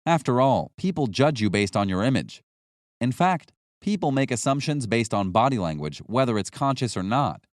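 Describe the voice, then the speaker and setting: A man reading a nonfiction audiobook as narrator, in a measured, instructional way with clear phrasing. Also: mid-range voice, big pitch swings, no echo, studio quality.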